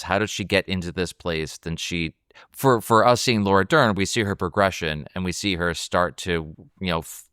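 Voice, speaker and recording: A man's voice: low-pitched, a bit bright, clear, resonant tone, no room sound, studio quality. A man co-hosting a film discussion, working through his questions aloud in a casual way. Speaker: podcast co-host analyzing a film.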